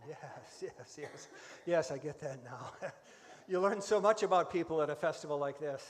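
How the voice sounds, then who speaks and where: A man's voice: fairly high pitch, moderate pace, a little hiss or hum, natural voice. A man playing a flustered, politely exasperated festival host in a live radio comedy. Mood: politely baffled. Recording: reverberant hall stage recording.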